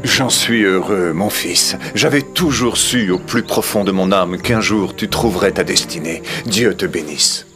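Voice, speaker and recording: A man's voice: deep voice, measured pace, few pauses. Tender old king, music behind. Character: loving old king, dignified father of a prince. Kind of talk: French animated film dubbing, formal dialogue.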